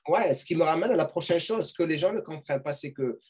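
Brief: middle-aged man, husky voice, advising host on a webcam call from home. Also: fairly high pitch, expressive, fast talker, a little mellow. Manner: advising, asks "tu vois ce que je veux dire?" often. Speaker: podcast host. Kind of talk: casual video-call interview. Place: home room with gaming chair. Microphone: webcam on a video call.

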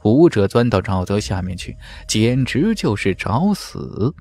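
A man's voice: on the low side, big pitch swings, a little slow, gravelly, darkish tone, husky. A man reading a fantasy novel as dramatic scripted narration, with theatrical, emphatic storytelling. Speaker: audiobook narrator. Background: background music.

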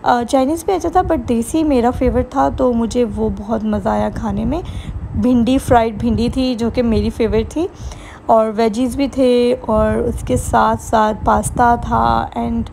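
A woman's voice: on the high side, fairly even intonation, a little slow, gravelly, warm tone. A young woman with a Pakistani accent chattily narrating a vlog at a buffet and a mall, recorded on a handheld phone. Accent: Pakistani Urdu. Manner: chatty, enthusiastic, mixes English phrases into Urdu. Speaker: vlogger. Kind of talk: casual vlog narration, Urdu mixed with English. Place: restaurant buffet and shopping mall. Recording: noisy phone video with background chatter.

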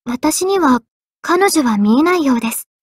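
A woman playing an earnest, polite, quietly self-deprecating young female mage in expressive anime game voice lines. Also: sits high, normal speed, dry recording, studio quality. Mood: subdued, apologetic. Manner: polite, expressive, shifting between excited and subdued.

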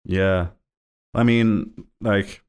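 A man's voice: on the low side, fairly even intonation, very slow, a little mellow, clean tone. A young Danish man co-hosting a podcast, chatty and jokey, with rambling asides. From Denmark. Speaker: podcast co-host. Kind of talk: casual chat.

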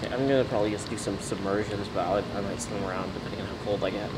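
A man's voice: fairly low pitch, steady tone, very fast. A young man talking casually and hesitantly from inside an outdoor swimming pool, picked up by a camera mic a few feet away. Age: young adult. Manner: chatty, hesitant, laughs often. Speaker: vlogger. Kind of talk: casual behind-the-scenes chat. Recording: loud outdoor ambient noise.

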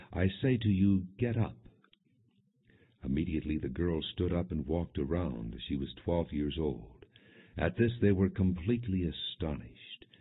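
Very deep-voiced man reading scripture, muffled. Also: slightly rough. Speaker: audiobook narrator. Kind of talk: scripted scripture narration, non-dramatized audio Bible reading. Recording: dull, muffled lo-fi audio.

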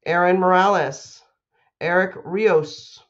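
A woman's voice: lowish voice, slow, muffled recording. A woman, a school principal reading aloud and making announcements to children.

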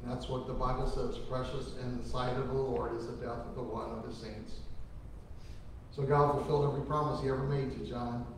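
A man's voice: medium pitch, little pitch movement, fairly quick, a little hiss or hum. A middle-aged man preaching at a funeral service, earnest, with folksy storytelling. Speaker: pastor. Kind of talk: funeral sermon. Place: large church sanctuary. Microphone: lectern microphone from a far camera. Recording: echoey church from far off.

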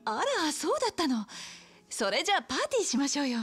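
Lively, coaxing bar hostess. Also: female voice, high voice, quick pace, soft background music. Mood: eager, insistent. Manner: soft feminine phrasing, chatty and encouraging. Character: friendly, coaxing bar hostess, a familiar big-sister confidante. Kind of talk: video game cutscene acting.

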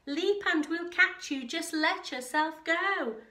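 Female reader as friendly picture-book animal, eager, coaxing calls, raised pitch, echoey room. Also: lively intonation, slow, far from the mic, heavily acted. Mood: urging. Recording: roomy, echoing home living room.

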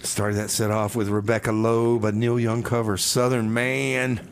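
A man's voice: on the low side, flat delivery, a little slow, slight background noise. A man hosting a community radio music show with casual, comedic banter.

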